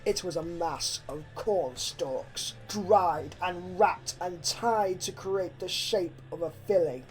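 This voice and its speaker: A woman reading a horror story aloud as dramatic, expressive narration with a suspenseful delivery. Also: fairly low pitch, slow, poor audio. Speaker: fan fiction narrator. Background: background music.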